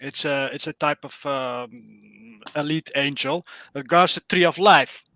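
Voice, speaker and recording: Bright, earnest Dutch-accented man. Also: a little high, unhurried. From Netherlands. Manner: earnest, searching for words. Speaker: caller. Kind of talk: casual explanation on a live stream.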